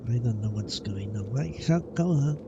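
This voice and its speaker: A man's voice: medium pitch, animated, moderate pace, muffled recording, music in the background. A man casually commentating on his own gameplay live, thinking aloud and muttering to himself with mild swearing. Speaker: live game streamer.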